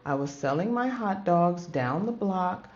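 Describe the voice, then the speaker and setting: A woman's voice: fairly low pitch, animated, measured pace, darkish tone, reverberant. A woman voicing a polite, hungry male hot dog vendor from a storybook, with savoring, courteous delivery. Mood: curious, delighted. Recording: dull lo-fi audio with music behind.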